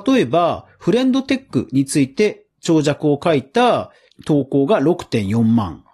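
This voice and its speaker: A man hosting a podcast, giving casual solo commentary in an explanatory way, with emphatic phrasing and deliberate pauses. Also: fairly high pitch, big pitch swings, a little mellow, slightly rough. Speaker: podcast host.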